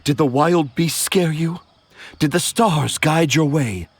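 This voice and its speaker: A man's voice: on the high side, slow, slightly rough, full character voice. An actor playing an effusive, poetic, doting storyteller father in a video game, florid and theatrical. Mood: relieved, doting. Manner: florid, dramatic.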